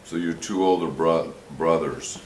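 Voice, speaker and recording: A male attorney questions a witness in a direct, matter-of-fact way. His voice is extremely deep. The recording carries the roomy echo of a courtroom. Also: unhurried, a little hiss or hum. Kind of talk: direct examination.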